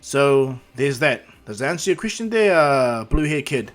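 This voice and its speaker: A man's voice: mid-range voice, sing-song, normal speed, lightly acted. A man voicing an easygoing exam announcer who addresses kids in an emphatic, casual way in a storytelling. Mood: explaining.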